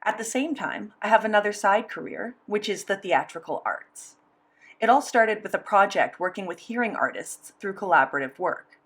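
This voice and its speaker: Female voice interpreter, mid-pitched, steady and measured, first-person rendering of a signed conversation. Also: breathy edge. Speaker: sign language voice interpreter.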